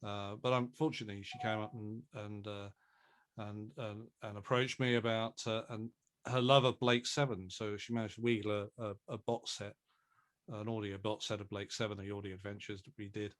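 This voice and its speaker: Man telling anecdotes haltingly. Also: on the low side, little pitch movement. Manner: halting delivery with wry understatement. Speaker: audio drama producer and director. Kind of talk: conversational anecdote in a group interview. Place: remote video call.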